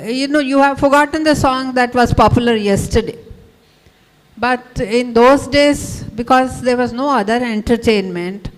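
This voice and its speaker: A woman giving a frank, opinionated remark in a conversation at a live event. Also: on the high side. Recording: long hall reverb.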